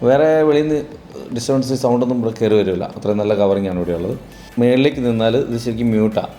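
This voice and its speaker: A man's voice: on the low side, warm tone. A man presents a product review, explaining and rephrasing as he demonstrates. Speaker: product reviewer for a camera store. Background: background music.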